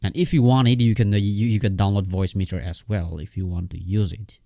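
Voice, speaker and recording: A man giving a casual, unscripted software tutorial in a halting, repetitive way, often checking in with his listener. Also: lowish voice, fairly even intonation, creaky, no echo, clean studio sound.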